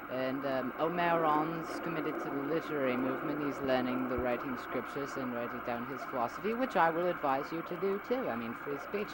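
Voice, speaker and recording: A man playing an earnest, idealistic revolutionary leader in an amateur audio drama, speaking in a halting, philosophizing way. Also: a little high, close to an everyday voice. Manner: halting, stumbling, philosophizing delivery. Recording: very noisy amateur early-1990s home recording.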